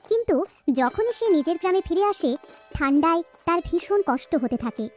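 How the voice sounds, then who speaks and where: Woman narrator for scripted children's tale, extremely high pitch, soft musical backing. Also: expressive, a little fast, faint music behind.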